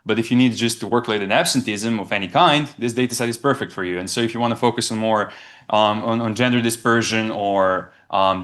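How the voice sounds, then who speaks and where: A young man from Serbia, giving a conference presentation in a halting way, often restarting and repeating words. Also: fairly low pitch, a bit bright. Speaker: research presenter.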